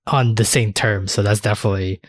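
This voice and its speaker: A man's voice: on the low side, fairly even intonation, brisk, no room sound, clean studio sound. A man hosting a casual, sweary podcast chat. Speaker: podcast host.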